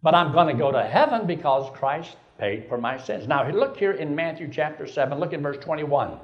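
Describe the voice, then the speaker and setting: Older male pastor, 70s, high-pitched voice, emphatic sermon delivery. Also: lively intonation, normal speed, muffled recording. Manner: preaching with rising emphasis, rhetorical questions.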